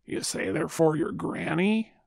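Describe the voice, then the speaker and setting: A woman voicing a sly, hungry male big bad wolf in a children's puppet show, gravelly and falsely polite. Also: low voice, darkish tone, no echo, studio quality, pitched below the performer's own voice, heavily acted. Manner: slow, scheming, falsely polite.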